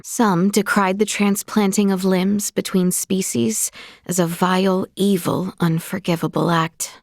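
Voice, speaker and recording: A woman's voice: on the low side, dry recording. A woman playing a young princess in a video game, speaking in a formal, courtly and earnest way, recorded dry in a studio. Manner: formal, courtly, archaic phrasing. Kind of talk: scripted video game voice acting.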